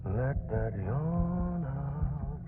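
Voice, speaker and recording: A man's voice: medium pitch, lively intonation, very slow. A man playing a tender father soothing a baby in a video game cutscene. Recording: thin phone-like sound under loud noise.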